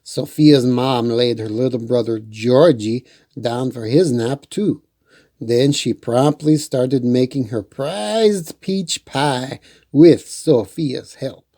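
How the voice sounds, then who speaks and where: Unhurried, mellow storyteller for children. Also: mid-range voice. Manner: playful, acts out dialogue. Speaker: storyteller reading a picture book aloud. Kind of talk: children's story read-aloud with chatty asides.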